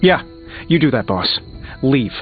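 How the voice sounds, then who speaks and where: An actor playing a proud, guilt-ridden genius superhero in a video game, sarcastic, emphatic and hard on himself. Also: male voice, mid-range voice, big pitch swings, unhurried. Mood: cold, sarcastic. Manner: sarcastic, self-reproaching, emphatic. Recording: muffled game audio under music.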